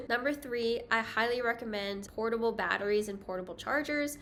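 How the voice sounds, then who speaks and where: Chatty woman with a high voice. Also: normal speed, a bit bright, slight background noise. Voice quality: higher-pitched voice. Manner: chatty, self-deprecating, with light laughs. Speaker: travel vlogger. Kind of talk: casual talking-head vlog.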